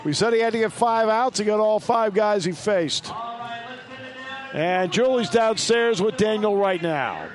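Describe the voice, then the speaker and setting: A man announcing a baseball game on radio, giving scores and reading sponsor lines in a brisk announcer delivery. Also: high-pitched, lively intonation. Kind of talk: radio sports broadcast.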